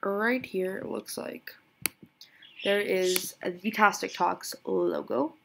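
A young woman giving a casual screen-recorded software tutorial, chatty and giggly, thinking aloud with a voice lower than usual today. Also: takes it slowly, creaky, noisy background. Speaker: tutorial creator.

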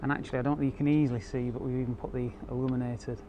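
A man's voice: mid-range voice, little pitch movement, racing, highs cut off. A man giving a casual, explanatory product walkthrough as a car audio installer. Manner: informal, explanatory. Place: outdoor car park and inside car. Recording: clear ambient noise behind handheld camera.

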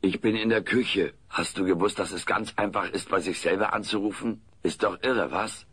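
A man's voice: deep voice, steady tone, normal speed, a bit bright, breathy edge. A man playing a brash, sarcastic, wisecracking furry alien houseguest in a comedy audio play. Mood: cocky. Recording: noisy old cassette recording.

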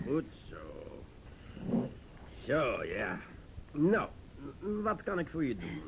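An actor playing a jovial, flirtatious, patronising police chief in a radio drama, with an avuncular, stammering manner. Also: male voice, huge pitch swings, slow, exaggerated voice. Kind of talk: Dutch radio drama acting. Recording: rumbly radio play with faint noise.

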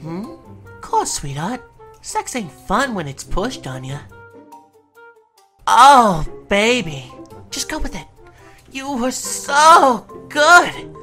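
Woman doing a sassy flirty demon gigolo guy, cartoon dub, slangy, real bouncy pitch. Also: very animated, slow pace, long pauses, fairly bright tone, soft background music, exaggerated voice. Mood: flirty, sultry. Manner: sassy, slangy, sugary pet names. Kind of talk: comic dub voice acting.

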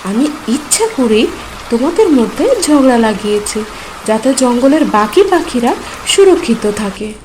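A woman doing a sweet, high little tailorbird. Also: animated, moderate pace, darkish tone, roomy sound, slight background noise, lightly acted, rough recording. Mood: triumphant. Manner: sweet, pleading. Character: small clever mother tailorbird.

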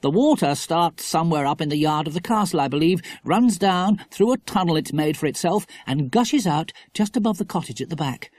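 A woman voicing a sensible, practical mother in a storybook, explaining matter-of-factly and gently firm. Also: low voice, lively intonation, fairly quick, few pauses, dry recording, pitched above the performer's own voice. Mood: pleasant, explaining.

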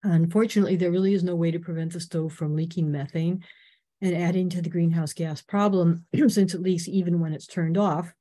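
An older woman from Canada giving an earnest, informative slide talk on a video call, clearing her throat now and then. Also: lowish voice, steady tone, a little fast, dark tone. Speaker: presenter.

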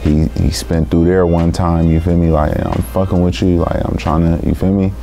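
A man in his thirties from San Francisco, a rapper being interviewed in a studio, talking in a slangy, laid-back street manner with a very deep voice. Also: level tone, normal speed, mellow, faint music behind. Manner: slangy, laid-back street talk, constant "you feel me". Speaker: rapper guest. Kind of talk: casual interview talk. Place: small recording studio room.

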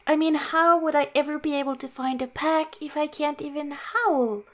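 A woman voicing a cute, insecure young werewolf girlfriend in an improvised ASMR roleplay, speaking to the listener in a halting, pouty, self-deprecating way. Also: sits high, close to an everyday voice. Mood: pouty, protesting.

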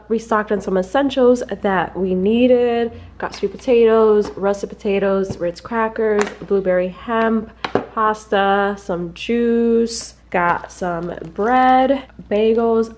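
A young adult woman chatting casually to her handheld camera in a small apartment kitchen, upbeat and quick. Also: medium pitch, darkish tone. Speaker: lifestyle vlogger. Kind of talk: casual vlog talk to camera. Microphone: handheld camera close to face.

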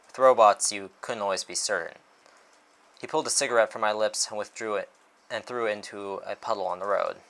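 A world-weary male detective played by a man reading aloud tells his noir story in a low voice. He is irritable and wry, with the dramatic delivery of a first-person storyteller. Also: fairly even intonation, fairly quick, creaky, fairly bright tone.

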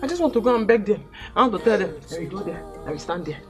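An actress playing a distraught, erratic young village daughter with a Nigerian accent, repeating herself and pleading in melodramatic film style. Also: female voice, mid-range voice, lively intonation, rapid-fire, music in the background, natural voice. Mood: manic remorse. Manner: repetitive, pleading.

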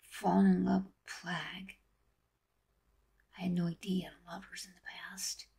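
Woman voicing a breathy, teasing teenage boy. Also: on the low side, little pitch movement, normal speed, mellow, heavily acted. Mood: sheepish. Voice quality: breathy, often whispering. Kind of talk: amateur fan audio drama.

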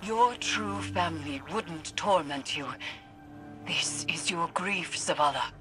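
An actress playing a stern, protective mystic guide in a video game, firm and solemn. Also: female voice, lowish voice, slow pace, music in the background. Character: stern, protective mystic woman guiding a troubled ally. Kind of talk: dramatic video game acting.

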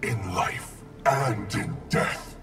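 Gravelly, menacing undead wraith assassin. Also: male voice, on the low side, drawn-out words. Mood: arrogant defiance. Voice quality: gravelly, growling. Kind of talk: video game fight intro dialogue. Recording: distant-sounding game audio under loud music.

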